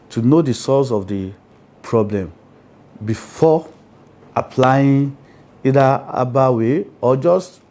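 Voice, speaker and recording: A man's voice: mid-range voice, warm tone. A man with a Nigerian Yoruba accent, speaking as an interviewed priest in an emphatic, explanatory way, often checking that he is understood. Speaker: Ifa priest, interview guest.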